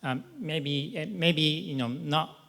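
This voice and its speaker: A man's voice: a little high, slow pace, vocal fry, fairly bright tone. Polite moderator man. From Japan. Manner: polite, hesitant with fillers. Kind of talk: panel moderation. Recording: reverberant conference hall.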